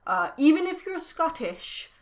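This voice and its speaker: A woman's voice: sits high, sing-song, measured pace. A Danish woman speaking English in a casual chat, explaining at length and laughing often. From Denmark. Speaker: podcast co-host.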